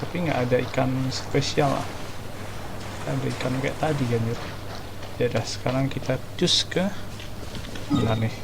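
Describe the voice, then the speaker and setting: A woman's voice: rumbling low, brisk, vocal fry, long pauses, noisy background. An Indonesian woman giving casual, slangy gameplay commentary as a streamer, informal and giggly in manner. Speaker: gaming streamer. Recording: loud game sound behind voice. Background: video game audio.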